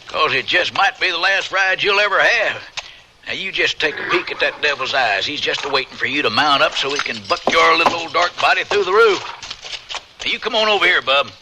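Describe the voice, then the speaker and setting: A man acts out a folksy, teasing cowboy with a drawling American West accent, pitched a bit high. He slings frontier slang in old radio western style, over clearly audible noise. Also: a little fast, lightly acted. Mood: warning, folksy. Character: brash, folksy, teasing cowboy fresh out of jail. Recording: noisy radio drama recording.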